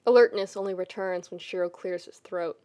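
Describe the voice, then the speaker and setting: A woman reading a scripted story as a podfic narrator, with expressive, intimate storytelling. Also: lowish voice, brisk.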